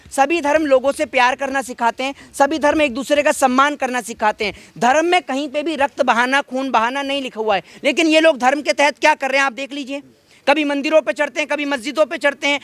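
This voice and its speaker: High-pitched, insistent man arguing politics. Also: fairly bright tone, dry recording. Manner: insistent, argumentative, repeats phrases for emphasis. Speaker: interviewee arguing political views. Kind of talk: heated impromptu political street debate.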